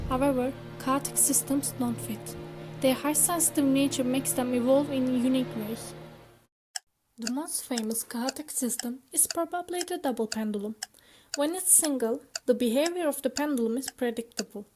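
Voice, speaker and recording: A young woman speaks English in a high, light voice. Her delivery is shy and careful. Faint music plays behind her. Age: young adult. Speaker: channel creator and student. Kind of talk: educational explainer and chat to viewers.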